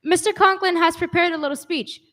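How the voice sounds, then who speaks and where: A woman acting as a female schoolteacher in an old-time radio comedy. Her voice is high and her manner composed, politely smoothing things over with a wry edge. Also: fairly even intonation, brisk, a bit bright. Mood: polite, placating.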